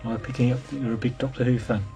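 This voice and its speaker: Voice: medium pitch, fairly even intonation, very fast, dark tone, faint music behind. An interviewer asking casual questions in an informal, tentative way.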